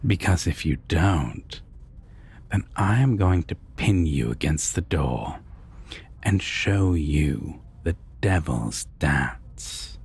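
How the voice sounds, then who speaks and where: A man playing a sinister, possessive vampire villain in a roleplay audio drama, taunting and deliberate with a breathy, gravelly half-whisper. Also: very deep voice, animated, darkish tone, heavily acted. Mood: threatening. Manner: slow, deliberate, taunting, with long pauses.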